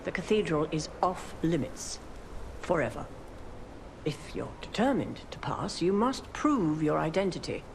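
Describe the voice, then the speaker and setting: A grim older gatekeeper woman in a dark video game. Her voice is lowish and full of creak, the delivery slow, solemn and heavy with guilt. Noisy ambience sits behind her. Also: big pitch swings, exaggerated voice. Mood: mournful warning. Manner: solemn, ominous, measured. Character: grim, sorrowful guilt-ridden older female cathedral gatekeeper. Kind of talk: dramatic video game voice acting. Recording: clearly noisy ambient background.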